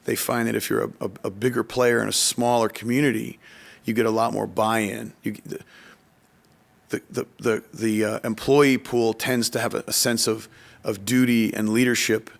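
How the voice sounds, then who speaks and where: A man talking conversationally on a podcast, telling anecdotes in a halting way and often repeating words as he explains. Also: lowish voice, level tone, gravelly. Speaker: economic development official, podcast speaker.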